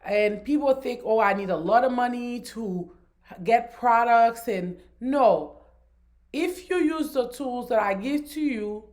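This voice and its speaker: A woman born in Haiti, a business strategist giving a deliberate, encouraging overview of her online course. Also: on the high side, animated, darkish tone.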